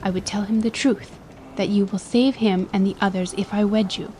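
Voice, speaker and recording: A woman's voice: mid-range voice, steady tone, moderate pace, dark tone, slight background noise, natural voice. A woman playing a proud, defiant young noblewoman in a dramatic audiobook, speaking with formal, impassioned dignity. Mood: firm, resolute. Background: ambience under the voice.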